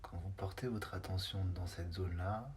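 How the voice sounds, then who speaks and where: A man leads a guided body scan meditation. His voice sits low. He speaks in short, unhurried phrases, leaving space between them and sometimes hesitating before the next one. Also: steady tone, darkish tone, a little hiss or hum.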